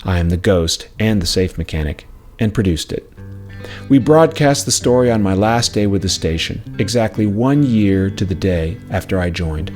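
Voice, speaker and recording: A man reading a scripted personal memoir in a measured, reflective storytelling way. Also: low voice, expressive, darkish tone. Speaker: narrator of own memoir, former radio station worker. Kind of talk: scripted narration. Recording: music playing behind the voice. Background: plucked acoustic string music.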